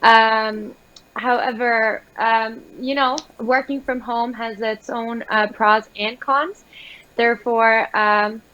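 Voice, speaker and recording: A woman from Iran calling into a live show from her home, speaking in a measured, articulate way and pausing often on "uh" and "um.". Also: fairly high pitch, muffled recording. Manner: articulate, steady, measured with many uh and um. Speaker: guest caller, recreational therapist. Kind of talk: conversational call-in interview. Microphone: remote call-in line.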